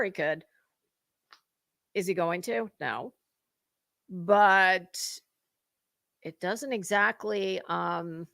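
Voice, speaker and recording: Slow, bright-voiced woman giving casual commentary. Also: medium pitch, gravelly, dry recording. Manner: rambling, halting, emphatic, mildly profane. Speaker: host of a gossip commentary livestream. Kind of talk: casual opinionated commentary to viewers.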